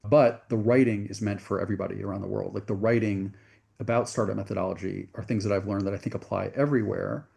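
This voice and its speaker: A man's voice: fairly low pitch, fairly even intonation, fast, vocal fry, mellow. A middle-aged man from the United States, a venture capitalist guest in an interview, telling his story earnestly and correcting himself as he goes.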